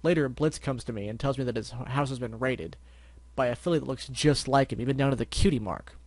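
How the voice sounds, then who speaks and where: A man voicing a calm, serious unicorn investigator. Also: mid-range voice, no room sound, lightly acted. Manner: measured, firm, matter-of-fact.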